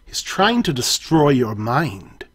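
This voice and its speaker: Urgent, mysterious male guide with a husky edge, read by a man, steady and explaining. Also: medium pitch, slow pace, full character voice.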